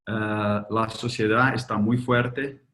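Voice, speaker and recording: Un hombre brasileño de lengua materna portuguesa, con un leve acento argentino, da una charla en un seminario web por videollamada, con habla pausada y llena de muletillas. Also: male voice, lowish voice, flat delivery, a bit bright. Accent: slight Argentine. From Brazil. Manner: slow, hesitant, with many fillers. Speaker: guest speaker, ophthalmologist. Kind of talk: webinar lecture. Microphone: video call.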